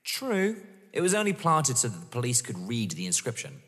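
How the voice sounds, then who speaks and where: A man plays a young tormentor in a radio play, vengeful and toying. His delivery is theatrical and mocking, with pitch leaping around freely. The sound carries a long reverb. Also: wildly swooping pitch, moderate pace, fairly bright tone, slightly rough, heavily acted. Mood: taunting. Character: taunting, vengeful young man running a sadistic game. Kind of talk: audio drama acting.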